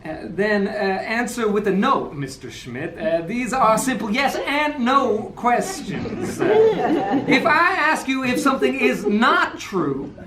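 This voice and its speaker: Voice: medium pitch, sing-song, slow, echoey room, a crowd in the background. A performer playing a smug, persistent lawyer who questions the witness in a theatrical, pedantic way. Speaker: defense lawyer Mister Grayson. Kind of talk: amateur radio play reading.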